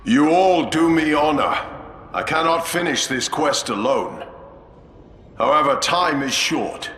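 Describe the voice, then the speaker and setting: An actor playing a grave, wary veteran space marine commander in a game cutscene, formal and measured. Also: male voice, fairly high pitch, sharp tone, echoey room. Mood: solemn, wary. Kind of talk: dramatic game cutscene acting.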